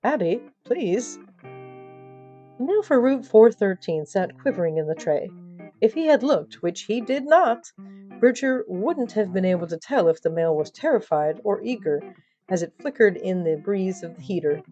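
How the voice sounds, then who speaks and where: A woman's voice: mid-range voice, animated, moderate pace, warm tone, muffled recording. A woman narrating comic audio fiction in a playful, wry manner, with asides to the listener. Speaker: story narrator. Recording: band-limited sound, music behind.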